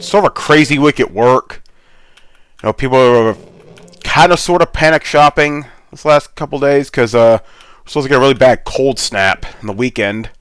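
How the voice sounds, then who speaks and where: A man's voice: mid-range voice, a bit bright, clear, resonant tone, no room sound. A congested man with a sore throat, rambling in a laid-back way as he hosts gameplay commentary over a headset mic. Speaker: gaming channel host.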